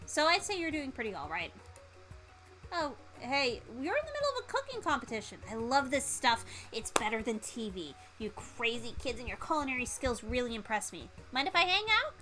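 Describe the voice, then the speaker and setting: A woman's voice: on the high side, sing-song, brisk, bright, forceful tone. Girl doing a friendly goofy monster from a game, super chatty and eager, bit of music under it. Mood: friendly, excited. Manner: rambling, amiable, easily impressed.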